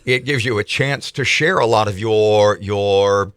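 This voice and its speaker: A man's voice: on the low side, a little slow, fairly bright tone, dry recording. A man hosting a radio talk show, prompting his co-host with questions and wry jokes in the studio. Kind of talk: conversational radio interview.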